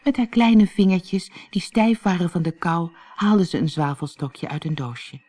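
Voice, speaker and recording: Low-voiced woman telling a fairy tale. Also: a little slow. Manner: expressive, dramatic storytelling. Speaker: audiobook narrator. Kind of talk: scripted fairy-tale narration. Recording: music behind the voice. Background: music.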